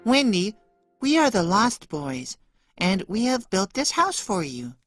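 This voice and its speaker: A woman playing a practical, earnest young boy in a children's animated story. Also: mid-range voice, measured pace, soft background music.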